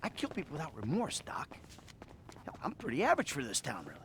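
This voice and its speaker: An actor playing a weary, defensive middle-aged ex-criminal who talks bluntly and swears, in a video game cutscene. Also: male voice, a little high, wildly swooping pitch, fast, a bit bright, a little hiss or hum, exaggerated voice. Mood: agitated. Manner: blunt, profane, self-mocking. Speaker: therapy patient.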